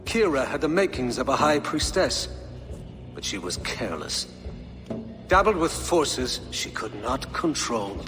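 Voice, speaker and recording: An actor playing a sneering, corrupt middle-aged abbot in a video game, theatrical and sanctimonious. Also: male voice, mid-range voice, very animated, measured pace. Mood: sly, taunting. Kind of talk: dramatic video game cutscene acting. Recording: long stone-hall reverb under music.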